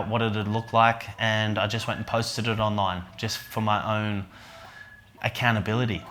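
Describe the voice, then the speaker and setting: Aussie bloke, kinda low voice, quiet and earnest, tellin his story for a doco. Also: slight background noise. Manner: soft-spoken, earnest, with fillers. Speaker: event organiser and men's coach. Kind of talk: reflective documentary interview.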